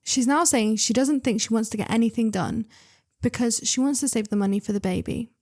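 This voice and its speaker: Young woman story reader with a slightly high voice, chatty and excitable, full of asides. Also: brisk. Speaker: reader and channel host. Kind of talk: reading online stories aloud with commentary.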